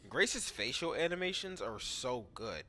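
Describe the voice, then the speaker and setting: Bright-voiced man commentating on gameplay. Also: medium pitch, sing-song, unhurried. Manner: informal, thinking aloud. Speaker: gaming video host.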